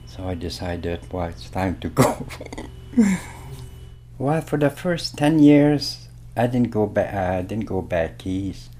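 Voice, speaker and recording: A man's voice: on the low side, big pitch swings, slow pace, warm tone, a little hiss or hum. A man in his seventies from Quebec, a native French speaker, telling his life story in a rambling way with small laughs. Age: 70s. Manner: rambling, laughs between phrases. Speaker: interviewee. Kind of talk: casual storytelling.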